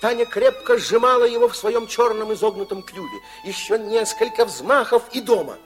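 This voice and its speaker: A man narrating a children's radio play in an expressive storybook style, with dramatic pauses. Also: sits high. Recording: old 1960s radio recording with music.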